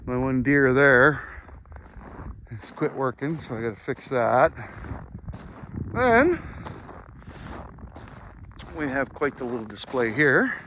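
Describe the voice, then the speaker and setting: A man's voice: medium pitch, expressive, slow, pauses often, fairly bright tone. A man giving a casual, rambling, matter-of-fact tour of his yard, unscripted and easygoing. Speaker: homeowner giving a tour of his Christmas light display. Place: outdoors on a rural acreage. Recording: noisy outdoor amateur recording.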